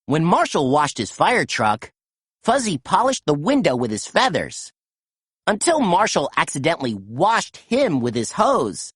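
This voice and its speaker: Husky-toned woman reading a picture book. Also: sits low, measured pace, no room sound, clean studio sound. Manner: gentle, expressive storytelling. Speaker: storytime reader. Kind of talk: children's book read-aloud.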